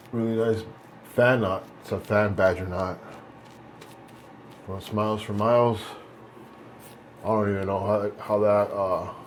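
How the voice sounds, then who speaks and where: A man's voice: on the low side, a little hiss or hum, low-quality recording. Laid-back man in his late forties, rambling. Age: 40s. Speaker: vlogger. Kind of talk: casual chat while shaving.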